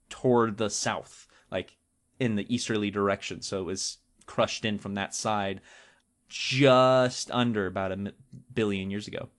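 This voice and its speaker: Male podcast co-host, average pitch. Informal, jokey explainer who leans on the important words. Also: fairly bright tone. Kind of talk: casual explanatory talk with a co-host.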